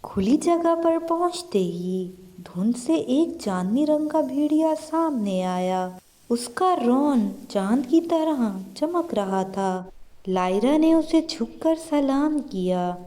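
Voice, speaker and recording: A woman's voice: high voice, lively intonation, slow, warm tone. A woman narrating a scripted fairy tale as a storyteller.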